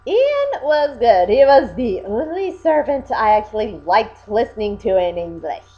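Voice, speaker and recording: A woman's voice: fairly high pitch, big pitch swings, measured pace, faint music behind. Blunt young woman co-host. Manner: blunt, opinionated. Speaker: video co-host. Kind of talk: casual chat. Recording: dull lo-fi home recording.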